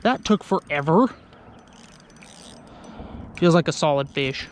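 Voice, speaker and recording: A young man, chatting casually to his viewers from a small boat on a lake, getting excited when fish bite. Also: fairly high pitch, slow. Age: young adult. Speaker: fishing vlogger. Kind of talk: casual outdoor vlog commentary. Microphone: action camera on the boat.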